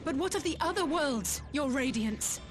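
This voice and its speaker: An indignant young heroine protests in a high voice. She is principled, formal and forceful, as in a dramatic game cutscene, with clear music underneath. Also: lively intonation, normal speed.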